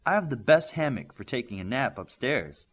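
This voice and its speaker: A man's voice: mid-range voice, big pitch swings, normal speed, breathy edge. A man voicing an eager, forthright young girl superhero in a storybook.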